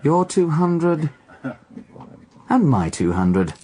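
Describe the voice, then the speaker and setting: A man's voice: on the high side, big pitch swings, drawn-out words, warm tone, slight background noise, exaggerated voice. A man acting in a radio drama as a tense, stubborn, desperate gambler with terse, defiant delivery.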